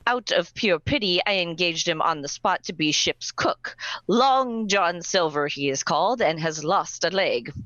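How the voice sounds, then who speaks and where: A woman playing an excitable, boastful squire reading out a letter theatrically in a radio play, slightly dull recording. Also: lowish voice, measured pace, no room sound. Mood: pleased, boastful. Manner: theatrical, exuberant.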